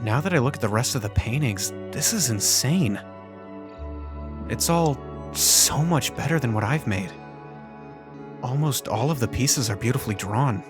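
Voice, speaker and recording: A man's voice: mid-range voice, expressive, moderate pace, long pauses, close to an everyday voice. Man as an uneasy teenage boy narrating over music. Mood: awed, self-doubting. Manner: introspective, wry, uneasy. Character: self-conscious teenage human boy, high school senior, narrating. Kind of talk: first-person visual novel narration, fan dub.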